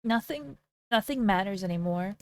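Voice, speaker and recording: A woman voicing a bullied orphan girl who speaks quietly and hopelessly. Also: mid-range voice, lively intonation, moderate pace, natural voice. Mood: despairing.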